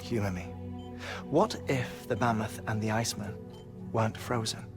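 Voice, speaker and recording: An actor playing an earnest scientist in a game cutscene, speaking in a measured, scholarly way. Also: male voice, lowish voice, music in the background, natural voice. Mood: explaining. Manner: measured, scholarly, precise, lecturing. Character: brainy, earnest male scientist lecturing on theory. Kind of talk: video game cutscene acting. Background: game score.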